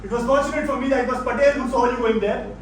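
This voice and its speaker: A man's voice: very high voice, fast. A man with an Indian accent playing a stern, controlling old father-in-law in a stage play, authoritative and disapproving. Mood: accusing. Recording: very echoey, distant stage recording with noise.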